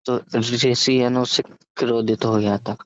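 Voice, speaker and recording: A man casually telling a story over the phone, halting and often stammering, repeating syllables and words. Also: medium pitch, little pitch movement. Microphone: phone call. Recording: muffled, echoey phone call recording.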